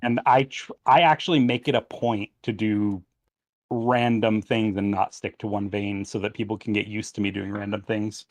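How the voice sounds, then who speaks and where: A man's voice: fairly low pitch, fairly even intonation, moderate pace. A man chatting casually as a podcast guest in a matter-of-fact way. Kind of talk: casual chat.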